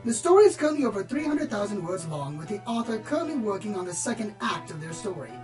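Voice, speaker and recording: A woman's voice: medium pitch, sing-song, quick pace, darkish tone, faint music behind, lightly acted. A woman playing a bookish, slightly prim pony book reviewer in a radio play, speaking formally and articulately. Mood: earnest, formal. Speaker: on-air book presenter.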